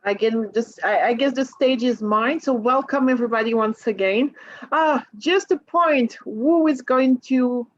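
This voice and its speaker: A woman's voice: on the high side, unhurried, no room sound. French-accented woman on a lo-fi call. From France. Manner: lively, laughs often, restarts phrases. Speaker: cruise line sales manager. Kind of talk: video call presentation. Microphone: video call. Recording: dull lo-fi video call audio.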